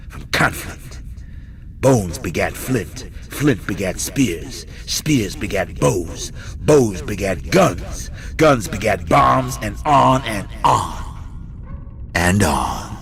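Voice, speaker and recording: A man's voice: low voice, creaky, fairly bright tone, heavily acted. A man playing a menacing, godlike game villain who speaks in a theatrical, grandiose, taunting manner. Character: menacing, godlike male villain preaching desire, power and revenge. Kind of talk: dramatic video game monologue. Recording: game music behind the voice.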